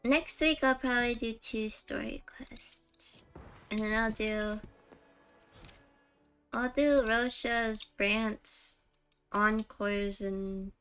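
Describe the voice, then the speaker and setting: A woman's voice: on the high side, steady tone, extremely slow, long pauses, fairly bright tone, faint music behind, rough recording. A woman livestreaming a game with casual chat, gushing and giggly as she reacts aloud. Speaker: streamer.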